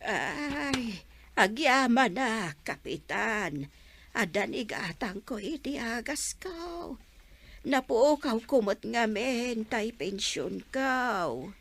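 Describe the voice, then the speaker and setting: A woman with an Ilocano accent acting a worried, frail old village mother, plaintive and halting, in a radio comedy drama. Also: fairly high pitch, very animated, gravelly, a bit bright, full character voice.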